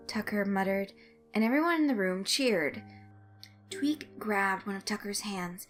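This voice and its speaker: A woman reading a fan-written story aloud as narrator, in expressive scripted narration. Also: medium pitch. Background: music under the voice.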